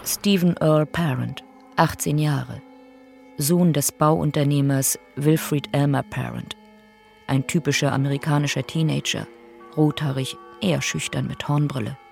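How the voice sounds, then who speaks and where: A woman's voice: low voice, fairly even intonation, unhurried, vocal fry, darkish tone, soft background music. A woman narrating victims' profiles in a radio play, in a clipped, factual, sober manner.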